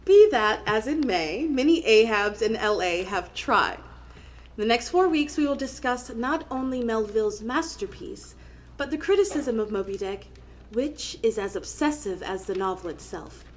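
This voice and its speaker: An actress playing a middle-aged woman literature professor in an audio play, lecturing with measured, emphatic academic diction and underlying emotion. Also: mid-range voice, a little hiss or hum, close to an everyday voice.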